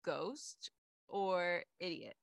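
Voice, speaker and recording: A woman's voice: on the low side, drawn-out words, gravelly, clean tone, dry recording, clean studio sound. A young woman co-hosting a podcast, telling stories in casual chat and laughing often. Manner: animated storyteller, laughs often. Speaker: podcast co-host.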